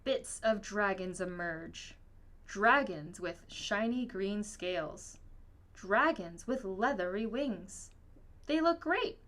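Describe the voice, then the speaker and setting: A woman reading a children's picture book aloud in an expressive, storytelling manner. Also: medium pitch, takes it slowly. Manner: expressive, acts out dialogue. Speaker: storybook reader.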